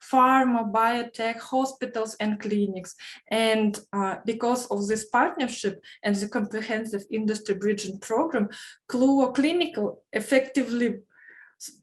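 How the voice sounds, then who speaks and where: Measured woman. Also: fairly high pitch. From Kazakhstan. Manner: careful, measured, slightly formal phrasing with small grammar slips. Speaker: program trainee sharing her career story. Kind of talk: prepared personal testimonial.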